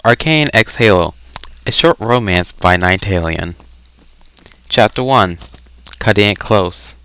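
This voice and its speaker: Young male gamer, low smooth voice, casual, reads aloud with small stumbles. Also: expressive, fairly bright tone. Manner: halting, stumbles over words while reading. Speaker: gamer reading fan fiction aloud. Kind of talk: casual game chat and read-aloud.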